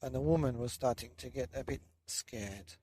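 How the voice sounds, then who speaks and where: A man reading a children's bedtime story in a loose, dramatic way with drawn-out emphasis. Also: mid-range voice, warm tone. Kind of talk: children's story narration, partly improvised.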